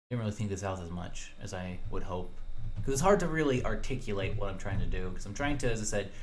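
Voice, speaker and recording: A young man with a lowish voice talks alone into his mic for his podcast. He rambles casually, stopping and restarting as he thinks aloud and hunts for words. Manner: hesitant, thinking aloud with many fillers and restarts. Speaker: solo podcaster.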